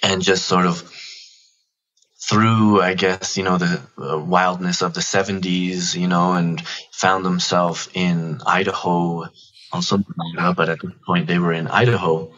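A man, speaking earnestly and haltingly as he reflects on his life as a podcast guest. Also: deep voice, level tone, a bit bright, lo-fi sound. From Toronto, Canada. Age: 40s. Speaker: podcast guest, activist spokesperson. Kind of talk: reflective personal storytelling.

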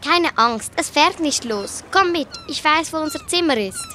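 A woman's voice: high-pitched, wildly swooping pitch, normal speed, sharp tone, a little hiss or hum, exaggerated voice. A young woman acting an eager, earnest girl detective in an amateur radio play.

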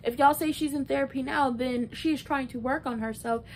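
High-voiced young woman, opinionated recapper. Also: normal speed, a little mellow. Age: early twenties. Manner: opinionated, conversational, emphatic. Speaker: video blogger. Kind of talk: casual TV recap commentary. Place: small bedroom, indoors. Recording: noisy room with low rumble.

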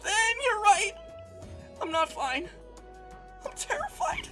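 A woman voicing a panicky, sarcastic male blue hedgehog hero in a comedic cartoon fandub, excitable, stammering and swearing. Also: extremely high pitch, slow, faint music behind, exaggerated voice. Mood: nervous denial.

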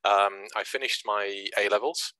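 Low-voiced Welsh man in his sixties. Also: fairly even intonation, a little slow, pushed and bright, clean tone, dry recording, rough recording. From Wales. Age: 60s. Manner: hesitant, many restarts and fillers. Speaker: guest speaker. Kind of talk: presentation at an online branch meeting. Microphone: video call.

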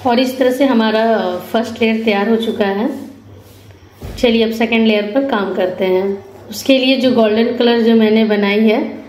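A woman's voice: on the high side, fairly even intonation, moderate pace, a little mellow. A woman giving an informal step-by-step craft tutorial as a voice-over, instructing viewers directly. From India. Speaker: rangoli artist giving a tutorial. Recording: roomy echo with faint background noise.

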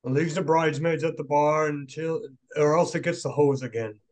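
Cheeky male joker, read by a middle-aged man, lightish higher pitch, comic screenplay read on video call. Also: fairly even intonation, moderate pace, a little mellow, lightly acted. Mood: teasing. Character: cheeky, tipsy man teasing his relatives. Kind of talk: screenplay table reading.

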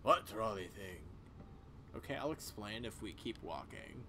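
A man's voice: lowish voice, animated, a little slow. Man voicing game dialogue, faint noise behind. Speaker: game player voicing characters. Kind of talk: casual expressive game dialogue reading.

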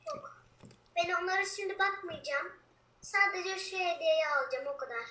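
A woman's voice: extremely high pitch, normal speed, sharp tone. A young girl chatting casually while playing along in a video game. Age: child. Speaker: co-player in a gaming video. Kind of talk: casual chat while gaming. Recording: echoey, roomy sound.

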